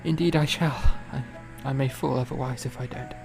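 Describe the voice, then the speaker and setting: A man acting a weary, feverish young gentleman composer who speaks in halting, formal, courteous phrases in a period audio drama. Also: medium pitch, steady tone, vocal fry, music in the background, close to an everyday voice. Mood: weak, polite. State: feverish, weak.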